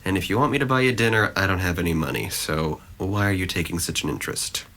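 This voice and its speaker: A deep-voiced young man, played by an actor, guarded and self-deprecating. He talks in dry, sardonic, long-winded lines, as in an audio drama. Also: quick pace, a bit bright, slight background noise. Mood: wry, guarded. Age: young adult.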